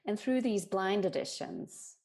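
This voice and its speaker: A woman's voice: mid-range voice, unhurried, vocal fry, a little mellow. A woman from Germany giving an earnest, explanatory talk on bias and inclusion. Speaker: speaker on diversity and inclusion.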